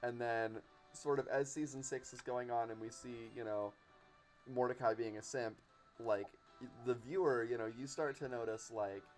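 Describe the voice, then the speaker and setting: A man's voice: mid-range voice, steady tone, normal speed, darkish tone. Man chatting casually over game music. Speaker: podcast guest. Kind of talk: casual chat about cartoons.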